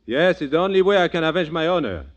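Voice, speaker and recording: A man's voice: a little high, animated, quick pace, muffled recording, natural voice. An actor playing an indignant, formal Frenchman with a French accent, earnest about his honor, in a 1940s radio comedy. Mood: affronted. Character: indignant, honor-bound Frenchman demanding a duel. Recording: noisy old radio recording with clicks.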